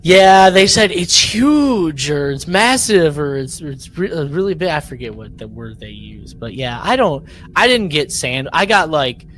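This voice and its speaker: A man's voice: a little high, very animated, unhurried, few pauses, fairly bright tone. A man co-hosting a podcast, chatting casually and informally about what he has listened to. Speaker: podcast co-host. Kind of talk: casual chat about a sci-fi audio drama.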